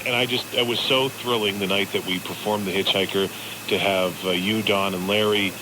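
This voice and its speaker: A man's voice: fairly low pitch, steady tone, moderate pace. A man talking as a studio guest in a live radio interview. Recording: very noisy live radio broadcast.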